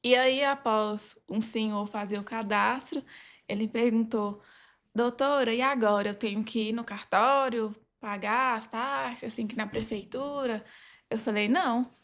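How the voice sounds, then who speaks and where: A woman's voice: a little high, steady tone, a little slow, a bit bright. A woman with a Brazilian accent speaking as a podcast guest, sharing experiences from her job in a conversational, anecdotal style. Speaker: podcast guest, legal advisor.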